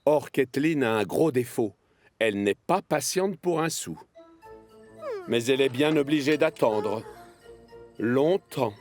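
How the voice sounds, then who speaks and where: Male narrator for kids' animated story: mid-range voice, scripted storytelling, music underneath. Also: medium pitch, measured pace, pauses often. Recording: music behind the voice.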